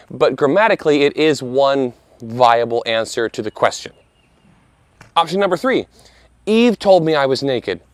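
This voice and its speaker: A man in his thirties talks straight to the listener, his voice a touch hoarse from a cough he hasn't shaken. His pitch sits in an ordinary middle range, and the close, dry sound leaves no room echo. He keeps it earnest and conversational, with a playful aside now and then. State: slightly hoarse from a lingering cough. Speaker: video host, Bible commentator. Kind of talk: casual scripted commentary.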